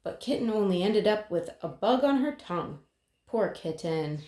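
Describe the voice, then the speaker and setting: A woman reading a children's picture book aloud in an expressive way, with dramatic pauses. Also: mid-range voice. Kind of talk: children's story read-aloud. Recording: roomy, echoing sound.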